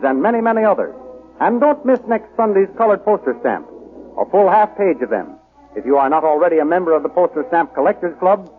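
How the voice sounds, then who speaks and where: A man reading a scripted promotion as a formal, declarative 1930s radio announcer. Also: sits high. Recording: tinny, noisy old radio recording.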